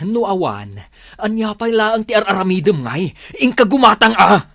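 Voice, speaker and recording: An actor with an Ilocano Filipino accent playing a demanding, irritable, selfish young boyfriend in a radio drama. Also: male voice, sits high, big pitch swings, normal speed, creaky, a bit bright, no room sound, clean studio sound, exaggerated voice.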